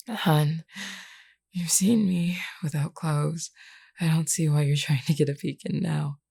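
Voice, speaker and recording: A woman's voice: sits low, gravelly, darkish tone, breathy edge, full character voice. A woman voicing a flirty, wounded female werewolf, teasing and weary, sighing through pain in an intimate audio roleplay. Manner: teasing, sighing, calls listener hun.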